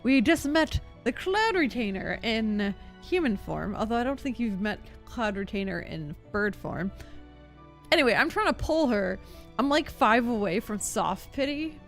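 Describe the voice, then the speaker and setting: A woman live streamer chatting casually and playfully over a game, sometimes reading dialogue aloud, with an expressive delivery. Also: a little high, unhurried, faint music behind.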